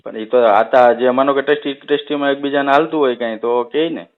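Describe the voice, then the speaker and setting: A man's voice: mid-range voice, quick pace, clear, resonant tone. A man with a rural Saurashtra Gujarati accent in a casual phone conversation, explaining things and often checking for agreement. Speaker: village head on a phone call. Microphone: telephone. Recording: tinny narrowband phone call recording.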